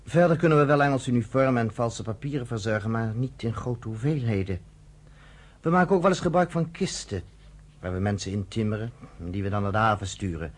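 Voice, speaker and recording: A man's voice: fairly low pitch, a little fast, natural voice. A man playing an earnest young camp resistance organizer in his twenties, matter-of-fact, in a radio play. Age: 20s.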